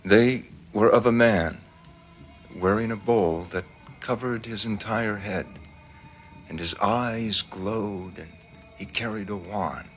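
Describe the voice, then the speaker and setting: A man playing a composed military colonel, speaking in a measured, solemn storyteller's way in a television drama. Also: lowish voice, faint music behind. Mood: solemn storytelling.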